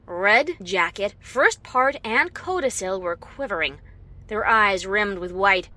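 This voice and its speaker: Casting: actress as defiant pony adventurer telling her own tale, animated mid-range voice, wry and dramatic. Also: mid-range voice. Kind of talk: first-person story narration with acted dialogue.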